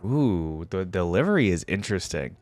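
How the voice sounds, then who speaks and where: A man's voice: low voice, sing-song, moderate pace, darkish tone, no echo. A man hosting a reaction video in a casual, chatty way, reading things out and commenting informally. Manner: informal, reads out then comments.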